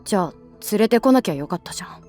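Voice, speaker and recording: A woman playing a guarded, sullen middle-school runaway girl in a Japanese audio drama, curt in speech with moody, breathy murmured asides. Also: mid-range voice, expressive, very fast, warm tone, soft background music, natural voice. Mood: defiant, annoyed. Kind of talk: Japanese audio drama acting with introspective narration.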